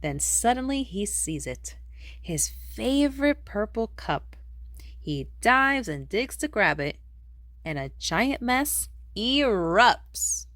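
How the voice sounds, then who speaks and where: A woman reading a rhyming children's picture book aloud in an expressive storytelling manner. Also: medium pitch, takes it slowly, no echo. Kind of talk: read-aloud storybook narration in rhyme.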